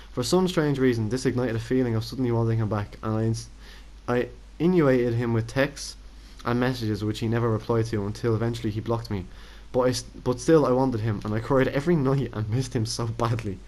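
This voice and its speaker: A man's voice: medium pitch, darkish tone. A young man co-hosting a crude, casual podcast, reading a letter aloud with frequent laughter. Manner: giggly, reads aloud mockingly. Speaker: podcast co-host.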